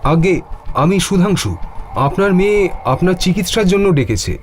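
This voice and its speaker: A nervous, well-meaning male doctor from a horror cartoon, acted out by a man, pitched high and very animated. He is polite but flustered, protesting earnestly over background music. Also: moderate pace. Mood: polite, puzzled. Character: frightened, earnest, decent male doctor on a house call.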